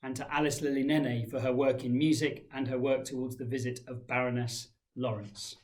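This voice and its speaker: A man in his forties, a head teacher giving a formal school assembly address, measured and reading from a folder in a small room. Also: mid-range voice, flat delivery, a little mellow. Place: small indoor room with framed pictures.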